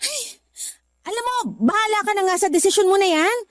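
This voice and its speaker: A sharp-voiced young woman in a radio drama. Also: extremely high pitch, a little fast, no echo, clean studio sound. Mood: worried. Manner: teasing, then scolding. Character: young woman working abroad, practical, a little irritated. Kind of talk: Tagalog radio drama acting.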